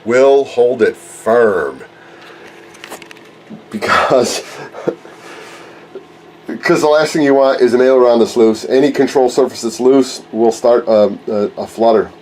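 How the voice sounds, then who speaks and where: A man's voice: mid-range voice, slow, long pauses, darkish tone, a little hiss or hum. A man in his forties, congested from a cold, chatting casually in a rambling, self-correcting way while filming in his home workshop on a handheld camera. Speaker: RC plane hobbyist and channel host. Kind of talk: casual workshop vlog chat. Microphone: handheld camera held close.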